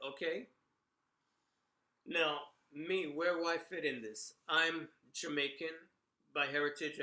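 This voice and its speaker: A man's voice: fairly high pitch, pushed and bright, reverberant, poor audio. A middle-aged man from the Bronx, telling memories in a casual monologue full of "you know" and "right?". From New York, Bronx. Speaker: commentator sharing memories.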